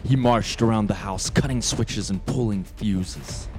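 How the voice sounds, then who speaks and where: Male narrator for radio drama, lower register, scripted delivery with light music bed. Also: unhurried, darkish tone.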